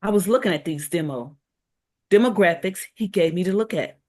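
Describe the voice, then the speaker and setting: A woman's voice: lowish voice, normal speed, a little mellow, natural voice. An actress reading a worried, conflicted diner owner in her forties in a holiday romance table read.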